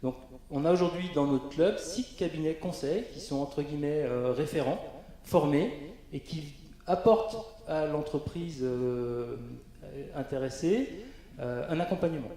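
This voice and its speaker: A man's voice: on the high side, a little slow, mellow. Man speaking in a very echoey hall. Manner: hesitant, frequent fillers and restarts. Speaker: panel speaker. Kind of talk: conference panel presentation.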